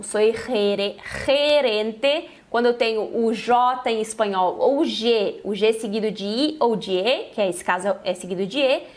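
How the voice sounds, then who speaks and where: A Brazilian woman, a native Portuguese speaker, teaching Spanish in an informal, conversational lesson style with frequent check-in tags. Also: on the high side. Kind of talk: informal language lesson, explaining and translating example phrases.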